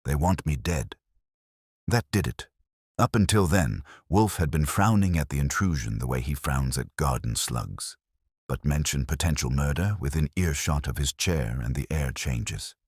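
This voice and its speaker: A man's voice: rumbling low, moderate pace, husky, no echo, clean studio sound. A man narrating a scripted detective story in a dramatic, wry first-person manner. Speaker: narrator and host of a mystery story channel.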